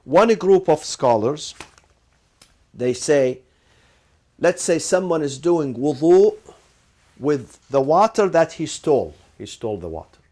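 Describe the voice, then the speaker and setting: A man with a fairly high voice gives a classroom lecture. He keeps asking "what?" and then answers himself, repeating phrases to drive the point home and dropping in Arabic legal terms as he goes. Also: big pitch swings, slow pace, pauses often, mellow. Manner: asks rhetorical questions and answers them, repeats phrases for emphasis. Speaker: teacher.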